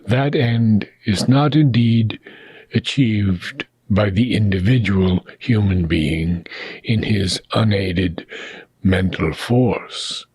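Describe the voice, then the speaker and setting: Slow, husky man reading solemnly. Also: on the low side, a little mellow, dry recording. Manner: solemn, deliberate phrasing with frequent pauses. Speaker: reader of a spiritual philosophy book. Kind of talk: scripted audiobook reading.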